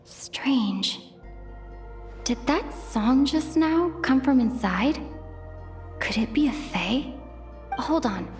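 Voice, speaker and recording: Hesitant young adventurer, high and echoing. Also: female voice, lively intonation, slow pace, long pauses, full character voice. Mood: puzzled. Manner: hesitant, thinking aloud to herself. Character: wary, curious young female adventurer exploring a cave. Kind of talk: video game voice acting. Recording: long reverb, music underneath. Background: game music.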